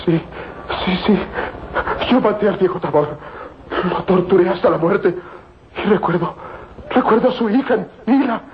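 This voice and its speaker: A man playing a dying, guilt-ridden Bedouin villain in a melodramatic radio drama, pleading and halting as he repeats his words. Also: high voice, background noise, close to an everyday voice. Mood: guilty anguish. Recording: muffled old radio drama, loud music.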